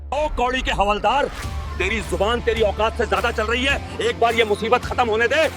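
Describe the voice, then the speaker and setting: A man's voice: very high voice, normal speed, over music. A man playing an angry, contemptuous senior police officer in an audio drama. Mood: furious.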